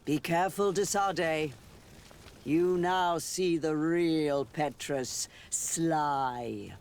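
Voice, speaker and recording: A man playing a shrewd, sardonic political rival in a video game, speaking in a deliberate, knowing way. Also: on the high side. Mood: pointed. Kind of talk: video game cutscene acting.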